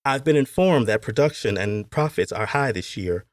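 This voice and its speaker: A man's voice: medium pitch, animated, normal speed, no echo, clean studio sound, natural voice. A man voicing a formal, educated young plantation owner, stiffly polite and restrained, in an audiobook story. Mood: curt, restrained.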